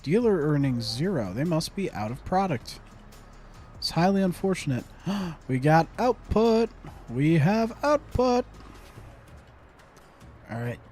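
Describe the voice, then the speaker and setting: A man's voice: on the high side, sing-song, takes it slowly, pauses often, darkish tone, faint music behind. A young man giving casual gameplay commentary, muttering to himself, humming and repeating phrases. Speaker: gaming streamer.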